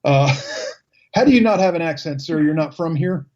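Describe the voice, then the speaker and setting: A man's voice: on the high side, lively intonation, moderate pace, a little mellow. A man hosting a casual radio talk show, chatty and upbeat, with stammered restarts. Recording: slightly dull lo-fi sound.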